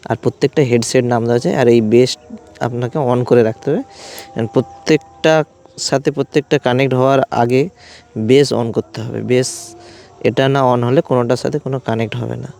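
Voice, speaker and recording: A man's voice: mid-range voice, steady tone, fairly quick, vocal fry, darkish tone. A man giving a hands-on product demonstration as a vlogger, explaining step by step in short phrases. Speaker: vlogger reviewing gear.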